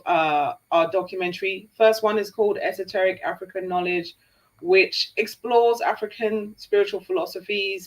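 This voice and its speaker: Brisk, upbeat woman co-host. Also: medium pitch, rough recording. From Jamaica. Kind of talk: live Q&A, reading viewer questions.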